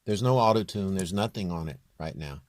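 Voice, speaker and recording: Low-voiced man giving an informal tutorial. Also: lively intonation, no room sound. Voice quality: low, near-bass voice. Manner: halting, thinking aloud while working. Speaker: home musician demonstrating his vocal production.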